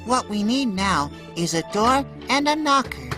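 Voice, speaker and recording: Lively, practical young boy. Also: on the high side, unhurried, over music. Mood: earnest. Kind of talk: children's animated story acting.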